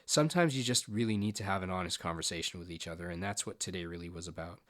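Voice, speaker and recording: A man's voice: sits low, fast. A man hosting a podcast, introducing his guest and asking questions with enthusiasm and a few stumbles. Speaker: podcast host.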